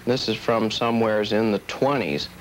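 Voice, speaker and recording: A man's voice: lowish voice, steady tone, normal speed. An American man explaining items step by step in a plain, methodical way on an old tape. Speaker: collector demonstrating items. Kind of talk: explanatory show-and-tell. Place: indoors at table by window. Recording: faintly noisy old videotape.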